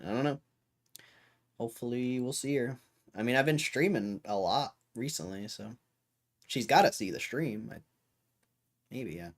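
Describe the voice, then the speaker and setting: A young man hosts a livestream in a loose, rambling way, talking straight to his chat. His voice is hoarse from hours of talking, and he keeps clearing his throat. He sits at a middle pitch for a man. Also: lively intonation, normal speed, pauses often. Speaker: livestream host.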